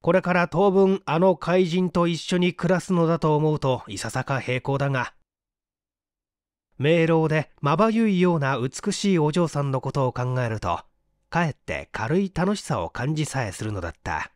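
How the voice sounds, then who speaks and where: Expressive male audiobook narrator, lively pitch. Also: moderate pace, no room sound, clean studio sound. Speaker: audiobook narrator and stage actor. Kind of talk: scripted literary narration.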